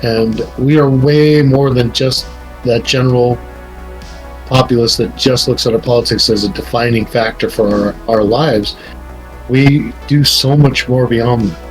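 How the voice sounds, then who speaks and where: A middle-aged man from the United States talks at an ordinary pitch in a casual family podcast. He is earnest and rambling, with a faint music bed under his voice. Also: steady tone, a little slow, low-quality recording. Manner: earnest, rambling, patriotic opinions. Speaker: father and co-host.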